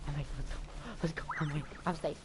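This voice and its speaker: A woman's voice: sits low, steady tone, fast, very noisy. A woman giving chatty, slangy live gameplay commentary, often talking to the game. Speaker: game streamer. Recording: game music behind the voice. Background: video game music.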